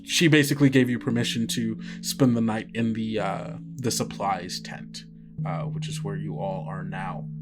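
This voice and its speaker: A man running a tabletop game as game master, narrating in a halting way full of fillers. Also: medium pitch.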